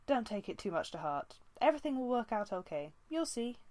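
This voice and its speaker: A woman's voice: a little high, very animated, brisk, a little mellow, voice pushed up. A woman voicing an easygoing male deputy cat, a soothing and reassuring peacemaker in an audiobook. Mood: apologetic, reassuring. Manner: soothing, apologetic. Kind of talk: audiobook character voice.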